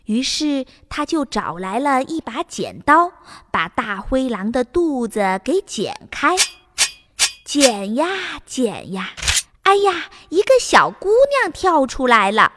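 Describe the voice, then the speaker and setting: A woman narrating a fairy tale for children in an expressive storytelling manner. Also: high voice, takes it slowly, in a large hall, background noise. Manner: expressive, spoken to young listeners with sentence-end particles. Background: music.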